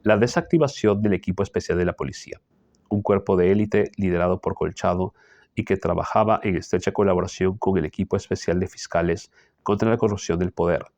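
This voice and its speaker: Male commentator, Peruvian accent, deep voice, dry close mic, keeps clearing his throat. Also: darkish tone, no room sound. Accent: Peruvian Spanish. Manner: frequent throat clearing and tag questions. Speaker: political commentator reading the news. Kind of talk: reading a news column aloud with personal asides.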